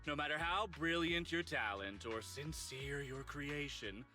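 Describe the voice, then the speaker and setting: A man playing an excitable, hype-hungry film director in a theatrical, grandiose style for an anime-style video game. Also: fairly high pitch, a little slow, faint music behind.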